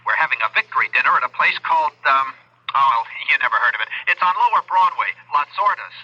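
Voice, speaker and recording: An actor playing an upbeat, ambitious politician husband, brisk and eager in an old-time radio style. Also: male voice, on the high side, animated, pushed and bright, breathy edge, full character voice. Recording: muffled old radio recording.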